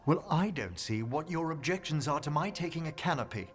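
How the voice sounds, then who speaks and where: An actor playing a fastidious, courtly, bookish gentleman in a video game, formal and gently pompous. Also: fairly high pitch, animated, soft background music, lightly acted. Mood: defensive. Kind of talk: video game character dialogue.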